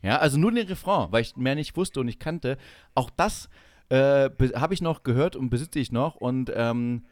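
A man's voice: mid-range voice, lively intonation, moderate pace, darkish tone, dry recording. A man around his forties co-hosting a casual podcast, rambling through memories with emphatic enthusiasm. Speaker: podcast co-host. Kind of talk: casual podcast chat with reminiscing.

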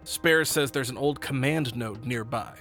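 A man playing a brisk, outspoken soldier, second-in-command of his squad, in a science fiction audio drama. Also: medium pitch, fairly bright tone, faint music behind. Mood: reassuring, wry. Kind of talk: sci-fi audio drama acting.

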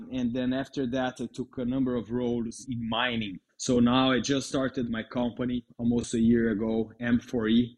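A man's voice: mid-range voice, darkish tone. Brazilian-accented middle-aged man explaining. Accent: Brazilian Portuguese. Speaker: guest, mining entrepreneur. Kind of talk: conversational interview answers.

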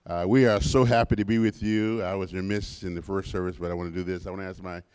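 An older man in his sixties, deep and low-pitched, delivers a sermon as a visiting pastor. He leans on repetition and swings between soft and loud passages. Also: fairly even intonation, fast, clear, resonant tone. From United States. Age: 60s. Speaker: guest pastor. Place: church sanctuary.

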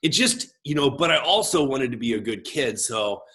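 Middle-aged man, a pastor, chatty interview guest, big expressive gregarious voice. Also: medium pitch, moderate pace. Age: 40s. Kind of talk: casual conversational interview.

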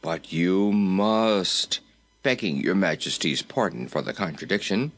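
A man's voice: sits low, lively intonation, a little slow, a bit bright, faint music behind, heavily acted. A man voicing a respectful, firm royal courtier in a storybook, formal and deferential yet insistent. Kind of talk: storybook character voice.

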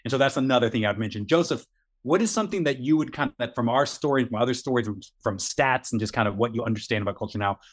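A man's voice: mid-range voice, quick pace. A man co-hosting a podcast, giving earnest, encouraging advice in a casual chat and repeating points for emphasis. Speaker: podcast co-host.

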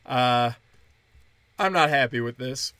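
Young comic guy riffing solo, crude and sneering, bright punchy voice, dry sound. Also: slow, clean tone, dry recording. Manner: crude, profane, mocking, with sudden high-pitched mimicry. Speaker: stand-up comedian, solo podcast host. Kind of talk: rambling solo podcast monologue.

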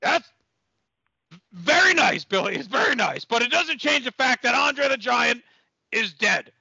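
A man playing an exasperated, bombastic wrestling company boss in a comic audio play, with a raspy, strained voice. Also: very high voice, animated, a little slow, bright, forceful tone, no room sound, dull audio, exaggerated voice. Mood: irritated, scheming.

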